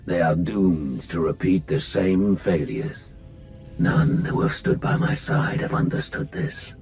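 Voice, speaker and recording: An actor playing a brooding, menacing warlord in a game cutscene, gravelly and slow, speaking in grandiose, ominous phrases. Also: male voice, low voice, lively intonation, a little mellow, soft background music, exaggerated voice. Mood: brooding menace. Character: brooding dark warlord, menacing male villain musing on failure. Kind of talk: dramatic video game cutscene acting.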